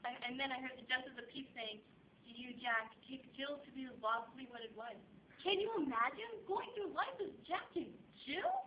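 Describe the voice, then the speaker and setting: A woman's voice: animated, brisk, roomy sound. A woman performing a comic stage monologue as a chatty, flighty, very young divorcee with dramatic, gushing delivery. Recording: dull, noisy amateur recording with low rumble.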